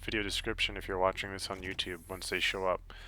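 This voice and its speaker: A man giving casual, halting, explanatory commentary for a video game walkthrough, with game music behind him. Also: low voice, level tone, sharp tone, low-quality recording.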